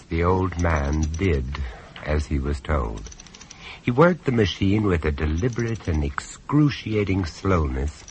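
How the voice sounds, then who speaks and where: Horror narrator, lowish voice, dramatic swings, measured, ominous, radio play. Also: male voice, a little hiss or hum. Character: male narrator of a horror tale, urbane companion.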